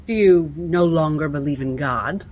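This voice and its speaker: A coolly persistent female interviewer in a period drama, played by a woman. Her voice is husky and a little low, her delivery formal, measured and pointed. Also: darkish tone. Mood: cool, probing. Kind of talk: cinematic period drama acting.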